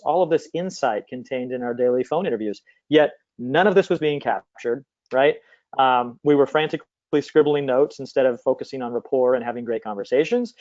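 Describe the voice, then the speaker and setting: A man's voice: medium pitch, darkish tone. A man speaking conversationally as an interview guest, explaining things over slightly muffled call audio. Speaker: guest, company CEO and former recruiter.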